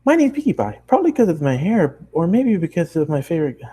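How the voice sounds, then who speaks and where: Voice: mid-range voice, very animated, quick pace, dark tone, a little hiss or hum, voice pushed up, close to an everyday voice. A narrator voicing a bubbly, hyperactive young female pony baker who gushes and chatters nonstop in a cartoon story. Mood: gushing, excited. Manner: gushing, squealing, breathless rambling chatter.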